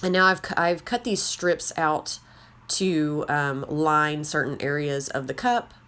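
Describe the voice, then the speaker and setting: A woman with a slightly raspy voice, chatting casually as she walks through a craft tutorial. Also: sits low, steady tone, a little slow, fairly bright tone. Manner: chatty, rambling, self-deprecating. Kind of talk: casual how-to narration.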